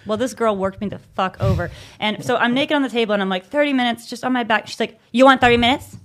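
A woman's voice: on the high side, quick pace. A young adult woman chatting casually as a podcast co-host, swearing freely, acting out voices and laughing often as she tells a story. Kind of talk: casual chat.

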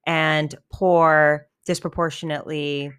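Low woman, smooth voice, chatting earnestly w/ lots of "you know", bit hoarse, dry sound. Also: little pitch movement, slow pace, fairly bright tone, no echo. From New York City. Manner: earnest, many fillers and restarts. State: losing her voice, coughing. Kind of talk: casual conversation.

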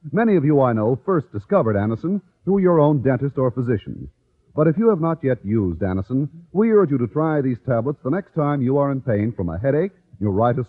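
A man reads in a formal, emphatic 1950s radio announcing style. His slightly husky voice swings widely in pitch as he sells. The sound is thin and narrow, like an old broadcast. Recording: tinny, narrow-band old radio recording.

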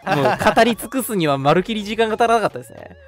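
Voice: mid-range voice, expressive, quick pace. A polite, conversational radio host chatting casually and announcing segments, of unclear sex. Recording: music playing behind the voice. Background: background music.

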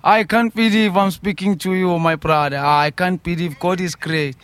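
A man's voice: sits high, fairly even intonation, normal speed, fairly bright tone, smooth, no room sound. Emotional young South African man. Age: 20s. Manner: emotional, repeats himself, calls everyone brother. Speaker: studio guest.

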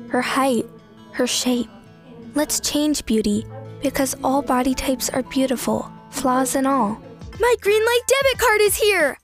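Young female, very high voice, acted commercial demo reads over light music. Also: a bit bright. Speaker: child voice actor in a demo reel. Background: music bed.

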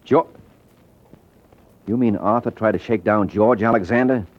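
Tough private eye, lowish voice, dry wisecracks, slangy, old radio drama, dull lo-fi sound. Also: male voice, measured pace. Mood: menacing, sardonic. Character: wry, tough male private detective, unflappable. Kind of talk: old-time radio detective drama acting.

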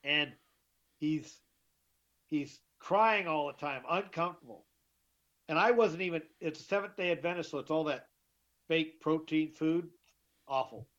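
A middle-aged man with a deeper voice, telling his story as an interview guest, emphatic and often repeating himself. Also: a little slow, pauses often, a bit bright. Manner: emphatic, repeats and restarts words. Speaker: guest, author. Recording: dull lo-fi sound.

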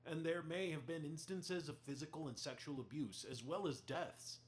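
A man's voice: on the high side, moderate pace, natural voice. An actor playing an earnest, challenging news reporter in an audio play, pressing his questions.

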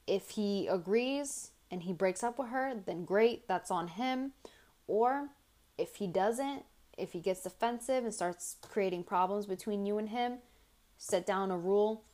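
A young woman hosting a casual advice podcast, blunt and chatty, with a tired, raspy voice as she reads listener stories aloud. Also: mid-range voice, unhurried, a little mellow. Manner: blunt, chatty, swears freely. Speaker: podcast host.

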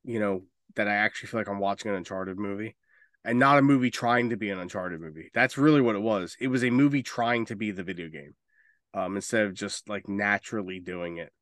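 A man's voice: fairly low pitch, fairly quick, fairly bright tone, no room sound, studio quality. A man co-hosting a podcast, chatting casually and giving blunt opinions with plenty of swearing. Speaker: podcast co-host. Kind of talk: casual opinionated chat about games and films.

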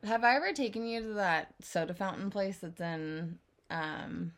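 A woman in her thirties from California, co-hosting a casual podcast, chatty and animated and swearing casually. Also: medium pitch, moderate pace. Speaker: podcast co-host. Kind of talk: casual chat.

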